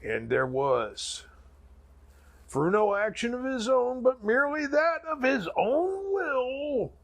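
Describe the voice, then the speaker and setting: Casting: folksy comic preacher, extremely croaky, very slow, solemn and grandiose storytelling. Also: male voice, very high-pitched, big pitch swings, full character voice. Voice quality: gravelly, raspy. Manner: drawn-out, folksy, dramatic pauses and sudden swells. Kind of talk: mock sermon storytelling.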